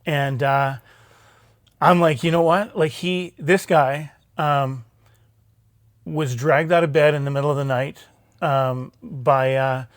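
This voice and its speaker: Male talk show host, slightly high pitch, chatty and halting, dry sound. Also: fairly even intonation, no room sound. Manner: halting, many fillers and restarts, chatty. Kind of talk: live talk show interview. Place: theatre stage, live show.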